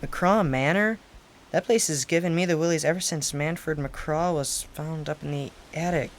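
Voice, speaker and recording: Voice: mid-range voice, normal speed, natural voice. A youngster playing a dry, bookish schoolboy in an amateur radio drama. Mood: dry, wary. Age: teenager.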